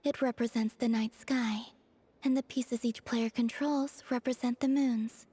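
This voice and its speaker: A woman voicing a serene young moon goddess in a video game, soft-spoken, composed and unhurried. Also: on the high side, steady tone, husky, no room sound. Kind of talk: animated video game voice acting. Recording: faint music behind the voice. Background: faint game music.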